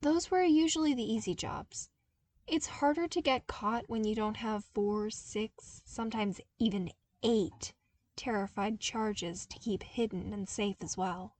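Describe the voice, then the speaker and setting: Young male ex-smuggler played by a woman narrator, defensive, plain-spoken, unhurried, for audiobook dialogue. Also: a little high, darkish tone, no room sound. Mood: matter-of-fact. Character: young man, defensive former smuggler, calm and matter-of-fact.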